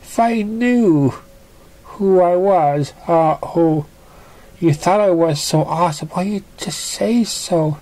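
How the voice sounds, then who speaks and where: A storybook reader voicing an excitable, earnest female pony who speaks in a halting, apologetic way. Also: medium pitch, pitched above the performer's own voice, lightly acted. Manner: meek, halting, then exclaiming.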